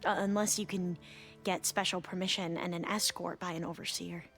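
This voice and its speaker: A woman's voice: on the low side, steady tone, normal speed, a bit bright. A woman playing an earnest, dutiful young scholar in a video game, with a slightly hesitant way of talking. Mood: somber, confiding. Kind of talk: video game cutscene acting. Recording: background music under the voice.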